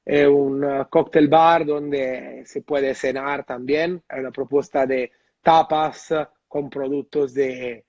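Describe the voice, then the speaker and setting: A man with an Italian accent, speaking Spanish as a guest bartender and often pausing with fillers and tag questions. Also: medium pitch, steady tone, a little mellow, no echo. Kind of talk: casual interview over video call. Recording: muffled dry lo-fi audio.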